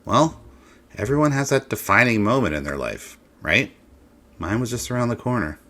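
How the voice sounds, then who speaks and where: An actor playing a candid, morally conflicted man in a video game, speaking in a measured, confessional way. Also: medium pitch, lively intonation, sharp tone. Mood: reflective, frank. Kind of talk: video game character dialogue.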